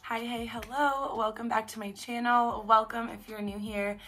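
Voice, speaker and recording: A young woman with a raspy, congested voice, chatting casually in a vlog. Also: a little high, steady tone, moderate pace, fairly bright tone, soft background music. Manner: chatty, upbeat, filler-heavy. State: congested, stuffed up. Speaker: vlogger. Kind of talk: casual pregnancy recap vlog.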